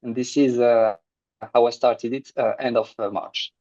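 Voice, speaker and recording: Earnest French-accented male guest, mellow tone, slightly muffled lo-fi sound. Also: medium pitch, fairly even intonation. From France. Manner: earnest, halting with restarts. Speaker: guest, agency owner. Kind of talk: casual interview.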